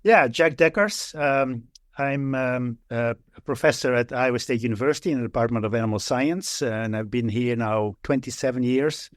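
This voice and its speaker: An older man with a Dutch accent, speaking haltingly as a professor in a conversational interview. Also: medium pitch, dry recording, clean studio sound. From Netherlands. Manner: halting, hesitant delivery. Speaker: guest expert, professor.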